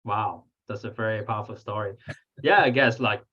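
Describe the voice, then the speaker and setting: A man's voice: lowish voice, moderate pace, a bit bright. A man from Australia with an Australian accent, hosting a podcast casually, chatty with lots of "like" fillers. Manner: chatty, lots of "like" and small laughs. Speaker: podcast host. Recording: slightly dull, lo-fi audio.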